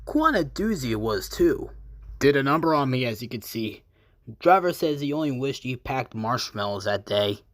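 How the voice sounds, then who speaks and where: A man voicing a battered old male rescue engine in a fan comic dub, weary, stoic and gentle. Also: medium pitch, very animated, normal speed, no room sound, studio quality, voice pushed down, close to an everyday voice. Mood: wry, resigned. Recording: music under the voice.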